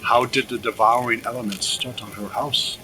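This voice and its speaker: A man plays a bitter, superstitious villager in an audio drama. His voice is mid-pitched and forceful, with a little noise behind it. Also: fairly even intonation, moderate pace, natural voice, rough recording.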